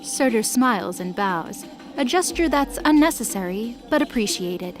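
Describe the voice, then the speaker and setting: A woman's voice: a little high, lively intonation, normal speed, soft background music. A woman reading scripted narration for a dramatic mythological story.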